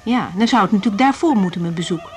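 A woman's voice: medium pitch, lively intonation, quick pace, music in the background, natural voice. An actress in a radio drama playing a young woman doctor who is guarded and inwardly troubled. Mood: reserved, polite.

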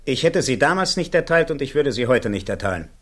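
A self-assured army colonel gives testimony in a radio drama. His pitch sits in the middle, and his answers are blunt, factual and confident. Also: big pitch swings, fairly quick, fairly bright tone. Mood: firm. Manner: matter-of-fact, clipped, confident answers. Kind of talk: radio play acting, courtroom testimony.

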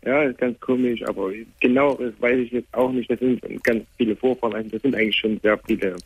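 A man in his twenties calling a radio phone-in, subdued and grieving, speaking haltingly with many restarts. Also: mid-range voice, steady tone, slightly rough, no room sound. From Germany. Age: 20s. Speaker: caller. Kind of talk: call-in radio conversation. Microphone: telephone. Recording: tinny bad phone line.

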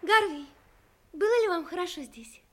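An actress playing a naive, lively, emotional young woman in an audio drama, expressive and girlish. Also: very high-pitched, normal speed. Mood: curious, tender.

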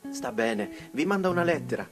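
A man's voice: fairly high pitch, big pitch swings, normal speed, over music. An actor playing an earnest, respectful young male student, shyly adoring, in a radio drama. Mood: polite, hesitant. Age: young adult. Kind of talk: theatrical radio drama dialogue.

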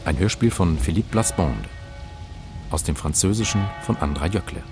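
A man's voice: deep voice, expressive, moderate pace, over music. A man reading radio drama credits as an announcer in a formal, scripted style.

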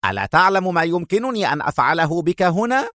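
A man voicing a haughty, hot-tempered British gentleman spy in an audio story, indignant, defiant and threatening. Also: sits high, very animated, fast, no echo, clean studio sound, voice pushed up, full character voice. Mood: furious.

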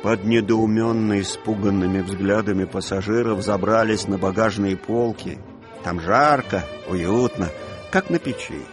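A man narrating a literary radio play in an expressive storytelling manner, with music behind him. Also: fairly low pitch, unhurried.

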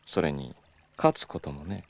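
A man playing a suave, stubborn, flirtatious club boss in an audio drama, with smooth polite speech that slips into teasing Kyoto dialect. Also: rumbling low, sing-song, measured pace, dry recording, full character voice. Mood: smug, provocative. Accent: Kyoto Japanese.

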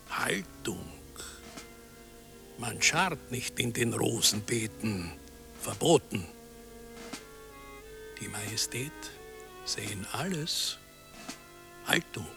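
An actor in a German radio drama playing a deluded old asylum inmate, an imperious fallen emperor who barks clipped fragments. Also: male voice, on the low side, expressive, extremely slow, creaky, long pauses, over music, exaggerated voice. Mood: stern, secretive. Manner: clipped, commanding, telegraphic fragments.

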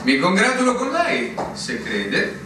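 Echoey, distant actor as formal army captain. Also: male voice, high-pitched, huge pitch swings, takes it slowly, full character voice. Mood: formal, musing. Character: weary, formal army captain at a remote fortress. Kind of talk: stage-style literary drama acting. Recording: echoey theatre, distant mic, noisy.

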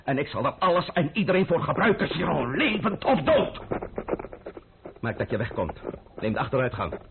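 A man's voice: a little high, very animated, gravelly, phone-quality sound, background noise. A male actor playing a cunning, menacing, mocking man of power in a theatrical radio play. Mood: proud, stern. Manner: sardonic, theatrical, deliberate.

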